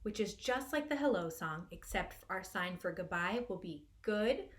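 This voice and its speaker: A woman's voice: medium pitch, expressive, normal speed. A woman hosting a children's storytime as a librarian, giving simple, encouraging instructions to young children. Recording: clearly noisy room recording.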